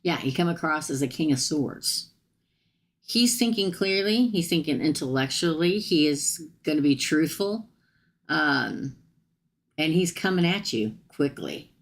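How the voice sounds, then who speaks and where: Tarot reader, woman, low gravelly voice, chatty with viewers, tired and getting hoarse. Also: animated, a little slow. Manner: chatty, addresses viewers directly. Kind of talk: live-stream tarot reading.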